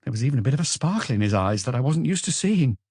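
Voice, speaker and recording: A man voicing an anxious, guarded young woman in an audiobook, soft-spoken and hesitant as she answers police questions. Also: medium pitch, big pitch swings, rapid-fire, slight background noise, voice pushed up, lightly acted. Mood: earnest.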